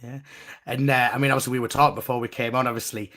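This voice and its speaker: A man hosting a wrestling podcast, his voice croaky from being unwell, rambling and restarting. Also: mid-range voice, little pitch movement, rapid-fire, sharp tone. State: croaky voice from recent illness. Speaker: podcast host. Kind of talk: casual podcast chat and news reading.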